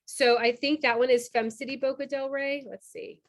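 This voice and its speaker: Fast-talking chatty woman host. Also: high-pitched, fairly even intonation. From Cuba. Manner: fast, chatty, filler-heavy. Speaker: founder hosting a member orientation. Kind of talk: casual online presentation. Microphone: video call.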